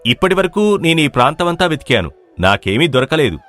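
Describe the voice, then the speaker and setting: A man's voice: a little high, sing-song, brisk, fairly bright tone. A man playing a humble, hopeful, long-struggling village stone hunter who talks deferentially in a moral story. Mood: humble, resigned. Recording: music playing behind the voice.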